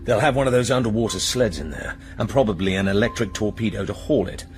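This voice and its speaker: A man's voice: lowish voice, fast, faint music behind, lightly acted. A British man playing a cool, decisive commander and secret agent in a radio drama, speaking with composed, precise authority.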